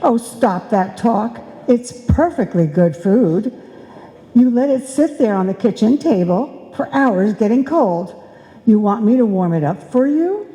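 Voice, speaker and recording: A woman's voice: on the high side, expressive, a little slow, mellow. A woman playing a nagging, fussing wife in a live old-time radio comedy, with theatrical scolding exclamations. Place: indoor stage before a live audience. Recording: live stage performance in reverberant hall.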